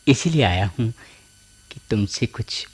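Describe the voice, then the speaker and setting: Slow, pleading, remorseful husband. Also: male voice, fairly low pitch, lively intonation, breathy edge. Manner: halting, pleading, solemn. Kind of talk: Hindi radio play acting.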